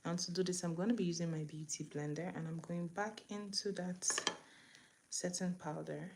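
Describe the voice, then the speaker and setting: A woman speaks in a slightly low voice over faint background noise. She talks casually and instructively to her viewers, like a host walking people through a tutorial as she works. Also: steady tone, normal speed, a little mellow. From eastern Nigeria. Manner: chatty, instructive, talking to viewers while working. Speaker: makeup tutorial host on a livestream. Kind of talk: casual chatty makeup tutorial, greeting viewers. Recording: clear background noise, room ambience.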